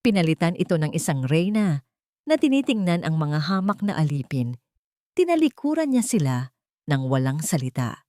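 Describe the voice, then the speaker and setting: A woman with a low-pitched voice narrates a scripted drama as a storyteller. The recording is very dry and close, with no room sound around her. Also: very animated, moderate pace, mellow, no echo.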